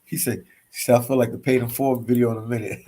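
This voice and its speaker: A relaxed man with a Philadelphia accent talks casually to his livestream viewers from a hostel library. He reads out their comments and answers them, often repeating phrases and tacking "man" onto the end of his sentences. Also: medium pitch, moderate pace, breathy edge. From Philadelphia, US East Coast. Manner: laid-back, says "man" a lot, repeats phrases. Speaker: travel vlogger reading live chat.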